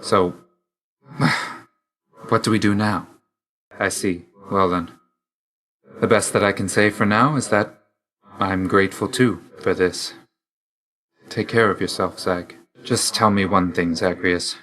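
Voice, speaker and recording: Deep-voiced, brooding young death god Thanatos. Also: male voice, steady tone, a bit bright. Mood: guarded, tender. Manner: reserved, dry, measured, formal. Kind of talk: video game character dialogue.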